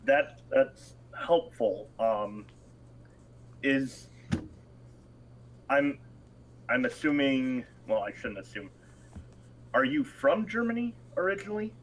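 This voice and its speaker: A man's voice: medium pitch, animated, slow, long pauses, poor audio. A man playing a tabletop horror game, asking careful, polite questions with some hesitation. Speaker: player.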